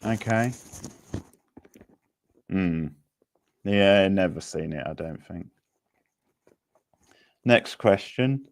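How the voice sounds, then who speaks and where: A man's voice: low voice, lively intonation, very slow, creaky, long pauses. A man hosting a quiz in a casual, chatty way, rambling a little as he thinks aloud. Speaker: quiz host.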